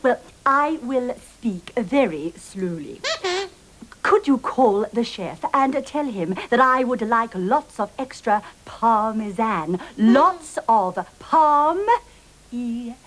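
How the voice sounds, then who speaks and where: A woman's voice: on the high side, lively intonation, normal speed, rough recording. A woman playing a genteel, dotty lady diner in a children's comedy, affected, twee and condescending. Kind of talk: children's comedy show acting.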